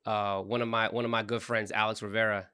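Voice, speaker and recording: A man's voice: fairly low pitch, little pitch movement, fairly quick, pushed and bright, clear, resonant tone. A man in his forties, from Puerto Rico and raised in New Jersey, a podcast guest telling his story casually, often repeating words and restarting. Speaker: guest, credit repair business owner.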